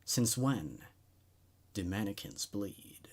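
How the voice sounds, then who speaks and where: A man's voice: fairly low pitch, expressive, slow pace. A man hosting a horror story show, reading stories aloud and giving his thoughts on them. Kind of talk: dramatic story narration and laid-back commentary.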